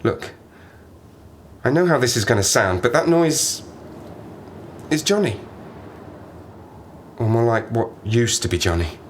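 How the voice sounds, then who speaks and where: An actor playing a stranded Arctic survivor in an audio play, a sardonic, haunted man who talks haltingly and rambles in agitation. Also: medium pitch, big pitch swings. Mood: uneasy, haunted. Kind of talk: dramatic audio play monologue. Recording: noisy ambience behind the voice.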